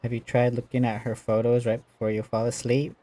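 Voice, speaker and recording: Very deep-voiced amused woman gamer. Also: flat delivery, brisk, dark tone. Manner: amused, laughs a lot. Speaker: gaming streamer. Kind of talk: casual let's-play commentary. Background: faint game music.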